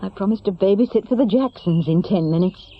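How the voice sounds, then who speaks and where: Actress as gentle, probing English woman for radio play, breathy voice, muffled sound. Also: medium pitch, fairly quick, close to an everyday voice. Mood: tentative. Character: gently chiding, familiar woman friend of the ex-policeman, gardening. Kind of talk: naturalistic British radio drama acting. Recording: muffled radio drama recording.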